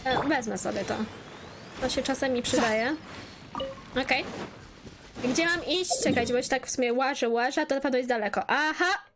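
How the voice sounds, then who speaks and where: A woman's voice: sits high, brisk, long pauses, background noise. A Polish woman doing casual let's play commentary, muttering asides to herself as she plays. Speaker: gaming YouTuber. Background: game music.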